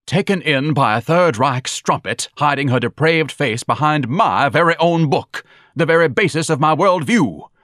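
A man's voice: a little high, animated, normal speed, fairly bright tone, no room sound, clean studio sound, pitched above the performer's own voice. A man voicing a pompous, self-pitying grown son in an audiobook, declaiming in florid, haughty phrases. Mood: outraged, melodramatic. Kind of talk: audiobook character dialogue.

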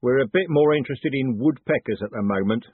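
A man's voice: medium pitch, brisk, husky, no room sound, voice pushed up, close to an everyday voice. A man voicing a sardonic tinkerer in an audiobook, blunt and dry with a teasing edge. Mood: brisk, blunt. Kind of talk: audiobook character voice. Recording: narrow phone-like band.

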